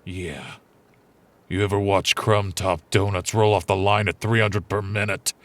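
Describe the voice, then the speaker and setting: A man's voice: deep voice, fairly even intonation, unhurried, a bit bright, dry recording. An actor playing an angry, confrontational man in his forties who acts like a battle-hardened factory veteran in an audio comedy. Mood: bitter, grandiose. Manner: confrontational, melodramatic, interrogating. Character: indignant forties man, self-styled bakery factory veteran. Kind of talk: audio drama comedy acting.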